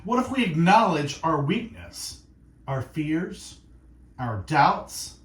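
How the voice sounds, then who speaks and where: A man hosting faith-based radio, speaking in an earnest, reflective monologue of encouragement with short, deliberate phrases. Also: a little high, sing-song, a bit bright, roomy sound. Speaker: radio host. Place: radio studio.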